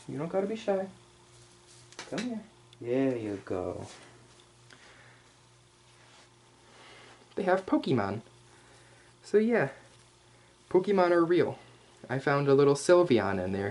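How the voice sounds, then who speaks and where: A man voicing a male hyena furry commander in a casual, rambling, playfully cocky ASMR roleplay, speaking straight to the listener with slangy asides and hesitant pauses. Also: medium pitch, sing-song, darkish tone. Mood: cooing, gentle, then relaxed, pleased. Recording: clear background noise.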